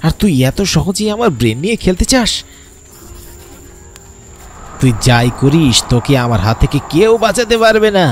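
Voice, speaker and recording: A man's voice: fairly high pitch, sing-song, moderate pace, long pauses, soft background music. A man voicing a domineering, lecherous demonic doctor who practises sorcery, threatening and gloating in a horror cartoon. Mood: menacing, boastful. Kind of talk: dramatized horror cartoon story.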